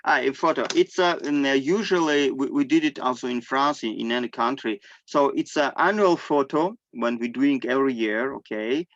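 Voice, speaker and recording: A middle-aged man from Armenia, a native Armenian speaker, tells a personal story in halting non-native English, searching for words. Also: medium pitch, fairly bright tone, dry recording, muffled recording. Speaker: guest sharing personal testimony. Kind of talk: personal storytelling in an online discussion.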